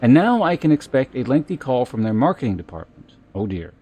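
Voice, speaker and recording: A man hosting a livestream, chatting casually and reading aloud in a halting, measured way. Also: mid-range voice, sing-song, dark tone. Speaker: live streamer host. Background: music.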